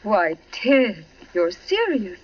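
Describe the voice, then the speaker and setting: An actress playing a young wife in a 1940s radio drama, light, poised and teasing. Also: female voice, medium pitch, sing-song, slow. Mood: puzzled, evasive. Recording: muffled, faintly noisy 1940s radio broadcast.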